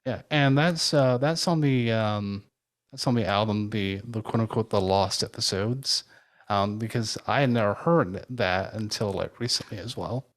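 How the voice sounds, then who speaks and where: A man's voice: on the low side, normal speed. Hesitant man hosting a casual interview. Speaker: podcast co-host interviewing a guest.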